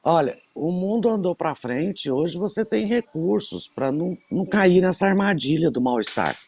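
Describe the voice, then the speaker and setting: A woman's voice: on the low side, normal speed, breathy edge. A woman in her sixties, co-hosting a radio show, telling stories in a casual chat with lots of emphatic repetition. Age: 60s. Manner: animated storyteller, emphatic repetitions.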